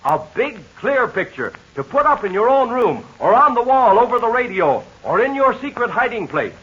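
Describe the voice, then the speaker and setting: A man announcing a 1940s radio serial, making the sponsor's pitch to young listeners in an eager, breathless kid-show sales style, heard on a dull lo-fi old radio transcription. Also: sing-song, normal speed, fairly bright tone, slightly rough.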